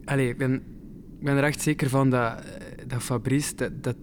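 An actor playing an awkward, apologetic young airline passenger in a radio drama, speaking halting, informal Dutch with a Flemish accent. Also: male voice, mid-range voice, level tone, lightly acted. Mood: earnest. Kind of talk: naturalistic radio drama dialogue. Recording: clear background noise.

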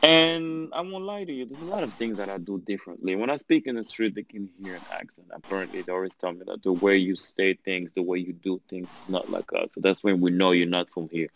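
A man's voice: low voice, brisk, few pauses, a bit bright, slight background noise, poor audio. A man from Belgium and France with a French accent, chatting casually and jokingly as a podcast guest. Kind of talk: casual chat.

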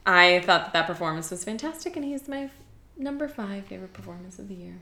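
A woman's voice: mid-range voice, animated, quick pace, pushed and bright. Enthusiastic woman in an echoey room. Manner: enthusiastic, emphatic. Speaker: podcast co-host. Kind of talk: casual chat about television.

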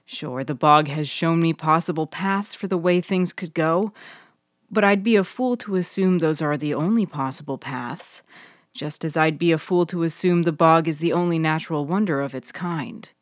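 A woman voicing an elegant, wise and unruffled woman in story dialogue, philosophical and gently lecturing. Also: low voice, moderate pace, no echo, clean studio sound, natural voice. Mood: firm, reassuring. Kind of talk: dramatised audiobook dialogue.

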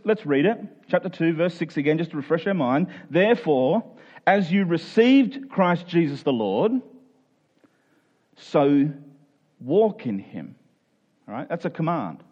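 A male preacher with a fairly high voice teaches from scripture in a conversational way. He explains each point, repeats key phrases and checks that listeners are following. The recording sounds a little dull and lo-fi. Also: a little mellow. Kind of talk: expository Bible teaching sermon, conversational.

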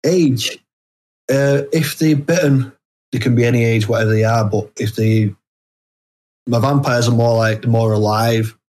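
A man answers questions as a guest author in a casual interview. His voice sits at a middling pitch. He talks haltingly, often restarting phrases as he works out what he means. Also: fairly even intonation, darkish tone. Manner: halting, hesitant phrasing with repeated false starts.

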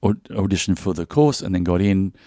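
A man's voice: deep voice, animated, a little fast, dark tone, no room sound, studio quality. A middle-aged Australian man from Melbourne, a comedian guest in an interview, chatting and telling jokey stories with lots of restarts. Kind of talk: casual interview chat.

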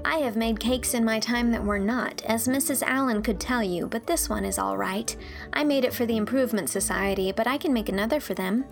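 Woman playing teenage girl, fairly high pitch, earnest, impulsive, polite, chatty storybook heroine. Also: a little fast, vocal fry, over music. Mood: gaily confident. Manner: earnest, breathlessly confessional, polite. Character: earnest, impulsive sixteen-year-old girl, contrite and chatty. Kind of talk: audiobook character dialogue.

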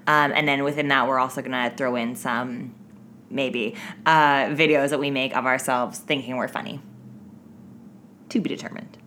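A woman's voice: extremely low pitch, fairly even intonation, moderate pace, fairly bright tone, slight background noise. A woman co-hosting a podcast in casual chat, with a teasing, playful way of talking. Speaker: podcast co-host.

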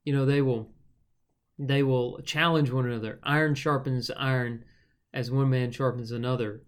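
Relaxed man teaching scripture conversationally. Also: medium pitch, moderate pace. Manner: relaxed and explanatory, with frequent fillers. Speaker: Bible study podcast host.

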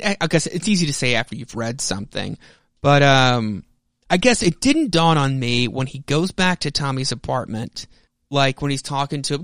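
A man hosting a podcast with casual, rambling commentary, halting and often interrupting himself in an informal way. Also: on the high side, a bit bright, no echo, clean studio sound. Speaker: podcast host.

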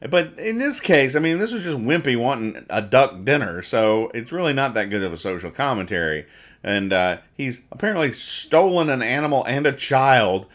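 A man's voice: medium pitch, very animated, normal speed, fairly bright tone. A man hosting a podcast, casually describing and explaining comic strips in a rambling, mock-earnest way. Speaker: podcast host.

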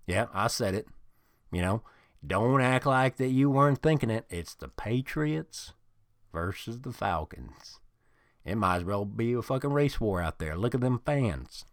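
A man voicing a crude, boastful, middle-aged couch football fan who rants loudly and swears a lot on a podcast. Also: mid-range voice, no echo, clean studio sound. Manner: loud, swaggering, profane ranting. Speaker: podcast host in character. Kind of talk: improvised comedy character monologue.

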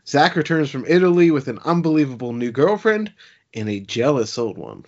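A man's voice: medium pitch, expressive, normal speed, highs cut off. A man co-hosting a podcast in casual banter about television. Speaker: podcast co-host. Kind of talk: casual banter, some read-out synopsis.

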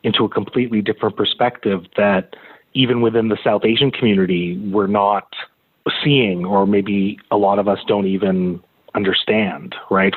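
A man with a fairly low voice hosts a conversational interview. He speaks gently and a little tentatively, and the sound is narrow, like a telephone line. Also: slightly rough. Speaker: host, interviewer.

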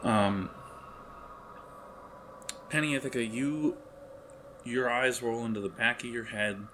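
A man improvising narration as game master of a tabletop horror game, halting as he searches for words. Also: mid-range voice, fairly even intonation, noisy background.

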